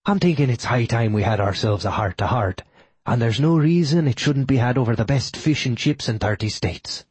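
A man voicing a brisk, probing werewolf lawyer in a faux Irish accent for a novel reading. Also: mid-range voice, expressive, a little mellow, husky, no room sound. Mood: knowing, brisk.

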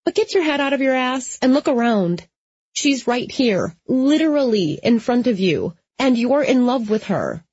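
A woman's voice: a little high, sing-song, moderate pace, darkish tone, slightly rough, no echo, highs cut off, pitched above the performer's own voice. A woman voicing a sassy, blunt young female vampire in an audiobook, with an emphatic, informal manner. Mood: insistent.

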